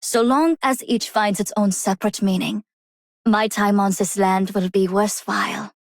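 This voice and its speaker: A woman playing a serious, composed researcher in a video game, measured and formal. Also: mid-range voice, steady tone, no room sound, studio quality, lightly acted. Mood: composed, reflective. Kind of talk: video game character voice acting.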